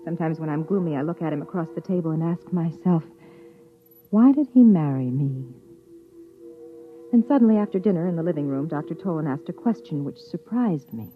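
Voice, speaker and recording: An actress playing an uneasy, introspective wife narrating a 1950s radio drama. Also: female voice, low voice, lively intonation. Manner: introspective, measured. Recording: tinny old radio broadcast with music.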